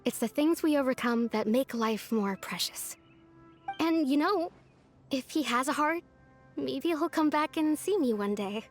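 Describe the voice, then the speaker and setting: Game village girl: youthful, high, sincere and wistful, music underneath. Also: lively intonation, a little slow. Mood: brave, bittersweet. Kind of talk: video game character acting. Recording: background music over clean game dialogue.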